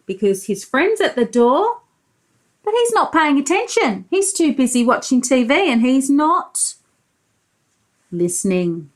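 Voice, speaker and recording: A woman's voice: high voice, very animated, unhurried. A woman preschool teacher reading a storybook aloud to young children, expressively, explaining as she goes and putting questions to the listeners.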